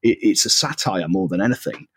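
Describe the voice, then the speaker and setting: Mancunian man, podcast guest, husky and dry, rambling and chuckling. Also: on the low side, expressive, a little fast, no echo. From northwest England. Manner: rambling, laughs often, many fillers and restarts. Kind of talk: casual chat.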